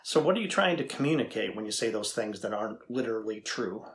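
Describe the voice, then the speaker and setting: A middle-aged man playing a thoughtful AI researcher in a dramatized interview, deliberate and conversational as he probes. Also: lowish voice, lively intonation. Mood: probing, thoughtful. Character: thoughtful male AI researcher questioning a machine. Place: indoor room with backdrop, seated.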